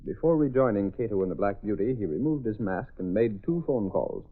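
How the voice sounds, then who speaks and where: A man playing the dramatic narrator of an old radio serial, with measured announcing. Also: on the low side, animated, narrow, tinny audio. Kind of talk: scripted 1930s-40s radio narration. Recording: thin old radio recording.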